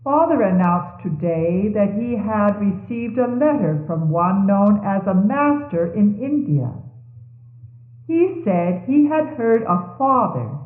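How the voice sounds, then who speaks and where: A woman reading religious messages aloud in a solemn, measured and formal manner, on a 1970s tape. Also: medium pitch, big pitch swings. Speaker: religious reader. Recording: 1970s tape, thin phone-like band, echoey room.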